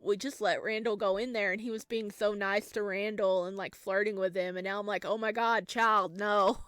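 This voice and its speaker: Expressive woman reading a game aloud. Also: medium pitch, brisk, no echo, studio quality. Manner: expressive, animated reader. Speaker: gaming YouTuber. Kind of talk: reading a visual novel aloud and reacting.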